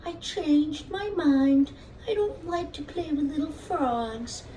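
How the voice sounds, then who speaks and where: A woman voicing a proud but softening young princess in a children's puppet show, with frank, childlike phrasing. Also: high-pitched, lively intonation, slow, roomy sound. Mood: dismissive. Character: proud young princess who learns to be kind. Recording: distant mic with faint background noise.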